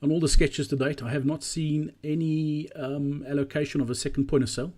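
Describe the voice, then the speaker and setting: A man narrating a walkthrough presentation in a measured, explanatory way. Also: on the high side, steady tone, warm tone.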